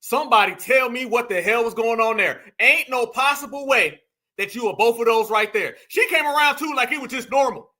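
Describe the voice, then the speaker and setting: A man hosting a reaction commentary show, talking in a brash, slangy, mocking way and sometimes breaking into shouts. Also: very high-pitched, fairly quick.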